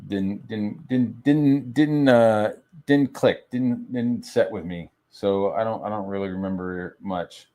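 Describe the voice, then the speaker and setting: A man's voice: lowish voice, takes it slowly, warm tone. An American man chatting casually and telling personal stories in slangy, profane language, often repeating himself and restarting. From United States. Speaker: livestream host. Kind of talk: rambling casual chat, telling personal anecdotes.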